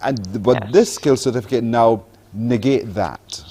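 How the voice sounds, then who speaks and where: A man's voice: mid-range voice, measured pace, warm tone, breathy edge. A man hosting a morning TV show, chatting with a guest in an upbeat, encouraging way. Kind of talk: casual TV interview. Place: TV studio.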